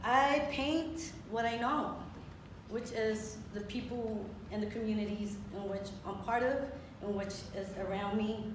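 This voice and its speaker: A woman's voice: mid-range voice, background noise. A woman from the Philippines, a muralist on a panel, speaking earnestly and reflectively with many fillers. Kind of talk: panel discussion. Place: theater with audience. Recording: echoey theater from far off.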